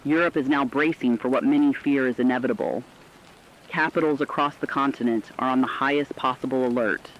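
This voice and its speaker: A man's voice: mid-range voice, steady tone, brisk, a little hiss or hum, natural voice. A man playing a serious radio correspondent, giving an urgent, dramatic scripted report on a disaster. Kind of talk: scripted breaking news report. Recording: dull lo-fi radio sound.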